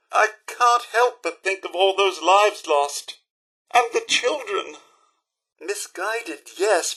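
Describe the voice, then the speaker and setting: A man's voice: on the high side, pushed and bright, slightly rough, full character voice, poor audio. A man voicing a prim, loyal, fretful robot butler in a video game, with a British accent and formal, deferential manners. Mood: solemn, troubled. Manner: formal, deferential, theatrically polite. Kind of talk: scripted video game dialogue.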